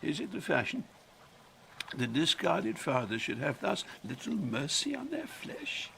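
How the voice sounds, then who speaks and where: Old mad king in a shakespeare radio play, slow and grand, bright gravelly voice, heartbroken and furious. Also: fairly high pitch, huge pitch swings, a little hiss or hum, full character voice. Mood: mad indignation. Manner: theatrical, declamatory, swinging from muttering to roaring. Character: raging old king, grief-stricken and going mad in a storm. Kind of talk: Shakespearean audio drama acting.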